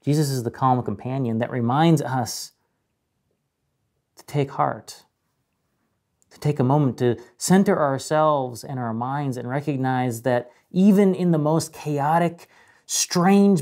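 Mellow-voiced pastor preaching with dramatic pauses. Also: male voice, mid-range voice. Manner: measured, dramatic pauses and emphasis. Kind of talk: sermon. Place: indoor room with a painted mural, speaking to camera.

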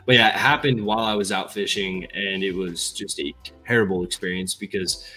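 A man's voice: low-pitched, steady tone, a little fast, pushed and bright, soft background music, rough recording. A young man hosting a casual livestream from an indoor room, chatting and reading viewer comments aloud on a headset mic. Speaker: livestream host. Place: indoor room, gaming chair.

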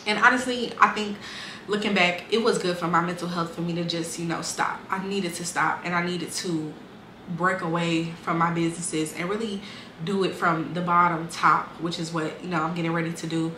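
A woman's voice: lowish voice, fairly even intonation, moderate pace, pushed and bright, reverberant, a little hiss or hum. A woman in her twenties casually and candidly telling her life story, with lots of "um" and "like". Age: 20s. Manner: candid, conversational, frequent fillers and restarts.

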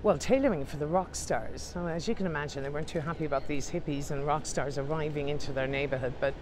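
A woman strolls the streets as a tour guide, upbeat and chatty. Her voice sits a little low, picked up on a handheld camera. Faint traffic hums in the background. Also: fast talker. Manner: chatty and upbeat, addresses viewers as ladies and gents. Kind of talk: walking tour narration to camera. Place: outdoors on city streets.

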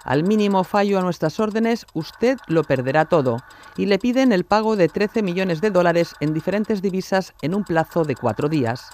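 A man's voice: high voice, expressive, moderate pace, a little mellow. A man narrating a scripted true-crime documentary.

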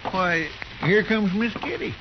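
An actor playing a naive, good-natured marshal's sidekick with a folksy drawl in a 1950s radio western. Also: male voice, high-pitched, a little slow. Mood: cheerful, guileless. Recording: dull, hissy 1950s radio recording.

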